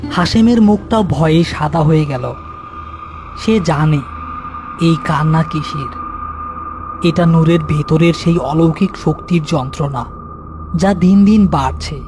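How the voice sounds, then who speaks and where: A man narrating a scripted horror story in a dramatic, deliberate manner. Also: fairly high pitch.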